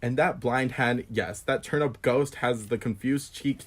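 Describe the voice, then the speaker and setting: An actor playing an irascible old landowner father in a stage comedy, pompous and blustery, quick to insult. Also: medium pitch, lightly acted. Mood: scornful, blustering. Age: older. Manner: pompous, blustery, tacks on verbal tags.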